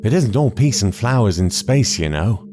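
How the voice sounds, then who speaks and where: A man voicing a quick, exasperated young woman companion in an audiobook. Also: fairly low pitch, sing-song, music in the background, pitched above the performer's own voice. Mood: startled.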